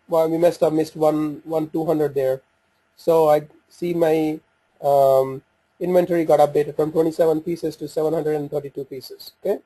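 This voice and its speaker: A man presenting an informal software walkthrough, talking haltingly as he thinks aloud while working through the screens. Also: a little high, slightly rough, no echo, poor audio.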